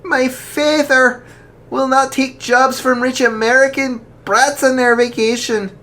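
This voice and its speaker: Narrator playing a proud young woman, defiant, sharp-tongued, high-pitched. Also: slow pace. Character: proud fierce young woman defending her father.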